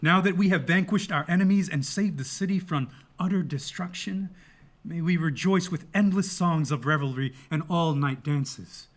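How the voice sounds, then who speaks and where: A man reading as a citizen in the chorus of a Greek tragedy. The voice is slightly high for a man, with measured, earnest and solemn delivery. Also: close to an everyday voice. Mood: celebratory.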